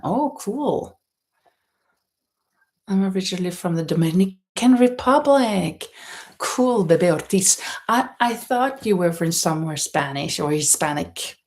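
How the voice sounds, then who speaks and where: Norwegian woman chatting slowly, creaky voice. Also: on the low side. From Norway. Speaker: livestream host. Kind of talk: casual chat while knitting, reading out viewer comments. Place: indoors at home in a holiday stay.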